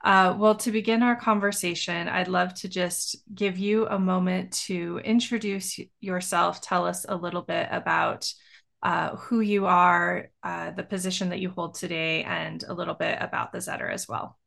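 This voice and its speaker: A woman hosting a podcast, welcoming her guest and asking measured, enthusiastic questions. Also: on the low side, steady tone, creaky, a bit bright. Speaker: podcast host.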